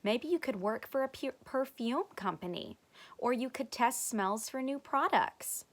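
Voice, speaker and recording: A woman's voice: fairly high pitch, expressive, unhurried, voice pushed up. A woman voicing a practical, mildly amused mother in a children's story read aloud. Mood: matter-of-fact.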